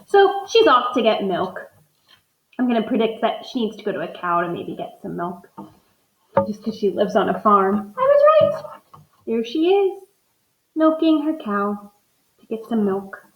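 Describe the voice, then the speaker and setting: Teacher thinking aloud over a picture book. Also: female voice, a little high, very animated, a little slow, long pauses, echoey room, muffled recording. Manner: thinks aloud, modeling inferences for students.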